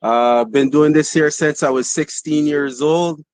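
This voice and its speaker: Relaxed Barbadian man, dancehall artist. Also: on the high side, a little slow. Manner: relaxed, rambling, fillers. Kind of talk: casual interview.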